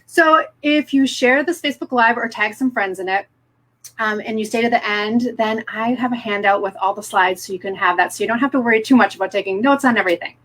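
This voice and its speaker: A woman's voice: a little high, brisk, a bit bright, poor audio. A middle-aged woman presenting live online as a business coach, upbeat and encouraging, speaking directly to her viewers.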